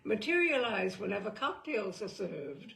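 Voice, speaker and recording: A woman storyteller reads darkly comic gothic narration with theatrical deliberation. Her voice is slow, animated and creaky, recorded in an echoey room. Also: medium pitch. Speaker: storyteller, reader.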